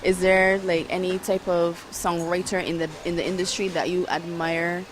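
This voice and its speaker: A woman's voice: on the low side, little pitch movement, normal speed, a little hiss or hum. A woman interviewing an artist for a magazine, upbeat and affirming. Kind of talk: casual interview. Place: outdoor arts event.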